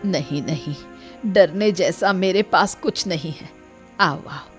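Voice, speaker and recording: Cartoon old village woman, played by a woman: unhurried, resigned, kindly, mid-pitched, music underneath. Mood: wary, kindly. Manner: slow, sighing, resigned. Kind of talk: Hindi moral-story cartoon dubbing.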